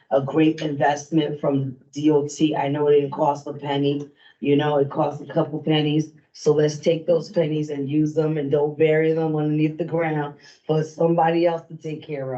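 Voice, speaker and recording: A man's voice: a little high, mellow, highs cut off. A man giving a plain-spoken, urging public comment at a city meeting. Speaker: resident speaking at public meeting.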